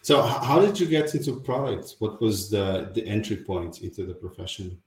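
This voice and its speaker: A man's voice: lowish voice, moderate pace, a little mellow, slight background noise. Echoey male podcast host. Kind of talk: interview hosting.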